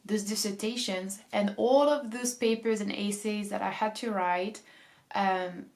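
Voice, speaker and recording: A woman's voice: mid-range voice, steady tone, measured pace, darkish tone. A young woman coming down with a cold, talking casually to camera as a book vlogger, reflective and hedging her points. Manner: reflective, hedges often with "I feel like" and "um".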